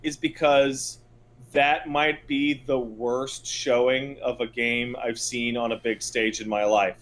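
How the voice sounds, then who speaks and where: A man's voice: mid-range voice, steady tone, takes it slowly, a bit bright. A man co-hosting a casual podcast, ranting with emphatic, hyperbolic exasperation. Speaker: podcast co-host.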